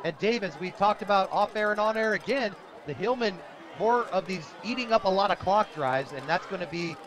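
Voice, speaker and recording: An American man doing live radio play-by-play of a high school football game, in clipped, energetic present-tense calls. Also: brisk. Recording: loud crowd behind outdoor broadcast. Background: stadium crowd.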